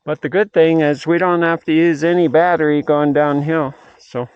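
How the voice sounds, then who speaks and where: Man, middle-aged, slightly high pitch, casual vlog chat, musing aloud, dull muffled outdoor audio. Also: fairly even intonation, moderate pace, no room sound. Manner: rambling, musing aloud to himself. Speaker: vlogger and amateur radio operator. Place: outdoors on a mountain bike trail. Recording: muffled outdoor audio with clear background noise.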